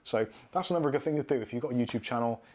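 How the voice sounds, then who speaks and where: A man's voice: medium pitch, racing, darkish tone. A man in his thirties from southwest England with a Bristolian accent, hosting a casual live Q&A and answering viewer comments. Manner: chatty, reads out viewer comments and answers them. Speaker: live stream host. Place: home studio room with shelves and neon signs.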